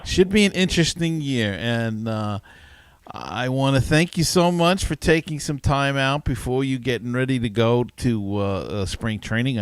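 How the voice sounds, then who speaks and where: Male podcast host, casual sports talk, conversational and slightly halting, mid-range voice. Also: mid-range voice, clean tone, dry recording.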